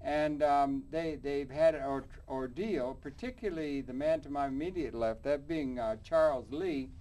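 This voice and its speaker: A man's voice: mid-range voice, moderate pace, dark tone. A man hosting a studio interview show, rambling and searching for words as he introduces his guests. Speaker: talk show host. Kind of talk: public access interview.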